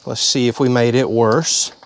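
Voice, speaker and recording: A man with a drawl walks through a hands-on job step by step, speaking plainly and at an easy pace. His voice sits at an ordinary middle pitch, and the sound is that of someone talking inside a vehicle. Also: steady tone, normal speed, slight background noise. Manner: plain-spoken, step-by-step explaining. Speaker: channel host. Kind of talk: casual how-to vlog.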